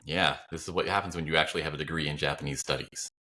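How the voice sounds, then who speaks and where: A man's voice: very deep voice, fairly even intonation, racing, pushed and bright. An American man bantering casually as a co-host, playful and wry, swearing freely. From United States. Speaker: podcast co-host.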